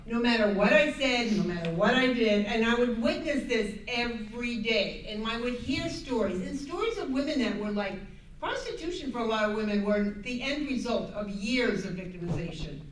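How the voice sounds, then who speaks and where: High-voiced American woman speaking from far off. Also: expressive. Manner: earnest, emphatic, halting with repeated rephrasing. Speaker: activist speaker on a panel. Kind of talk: unscripted advocacy talk to an audience. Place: echoey indoor room at a public panel event. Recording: echoey room, distant mic, background noise.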